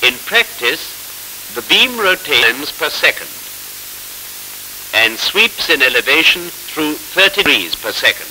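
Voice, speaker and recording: Bright, forceful 1940s training film narrator, man. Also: fairly high pitch, very animated. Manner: formal, measured, explanatory. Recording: scratchy hissy 1940s film soundtrack.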